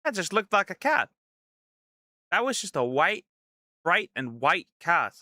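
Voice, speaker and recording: A male streamer giving casual, excitable commentary on a game, reacting with disbelief and joking to his chat. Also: high voice, measured pace, no echo. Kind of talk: let's play commentary.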